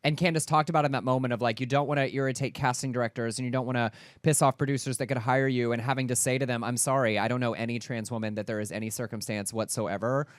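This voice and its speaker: A man's voice: mid-range voice, steady tone, fast, hardly any pauses, no echo. A man co-hosting a casual talk show in a mid-range voice. He speaks articulately and reflects as he tells a story. The sound is close and dry. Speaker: co-host. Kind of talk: casual chat.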